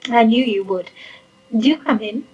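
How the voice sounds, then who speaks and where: A narrator putting on a high, sweet, coaxing landlady. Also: breathy edge, muffled recording, faint music behind. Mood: sweetly obliging. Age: middle-aged. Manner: gentle, genteel, coaxing, drawn-out. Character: sweet, eerily welcoming middle-aged English landlady. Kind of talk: storybook character voice.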